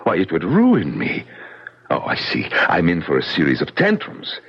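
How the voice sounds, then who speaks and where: An actor in a 1970s radio drama playing a courtly, hard-headed ballet impresario with a smooth, formal, dismissive manner. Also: male voice, low voice, huge pitch swings, normal speed, fairly bright tone, exaggerated voice. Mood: exasperated. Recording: muffled 1970s radio broadcast.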